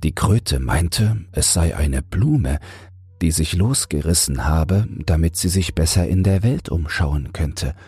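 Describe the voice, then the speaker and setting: A man with a soft, breathy voice narrating a fairy tale as a bedtime audiobook. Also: extremely low pitch, animated, takes it slowly. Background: soft music.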